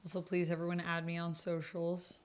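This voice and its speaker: Woman, slightly low voice, casual chatty livestream host at a computer. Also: monotone, a little fast, a little hiss or hum. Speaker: streamer hosting an actual play.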